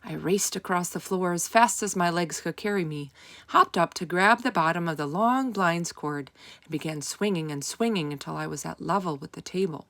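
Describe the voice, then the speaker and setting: Woman reading a kids book out loud, gravelly creak in her voice, kinda low, stresses words carefully. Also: moderate pace. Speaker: read-aloud narrator. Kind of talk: children's storybook read-aloud.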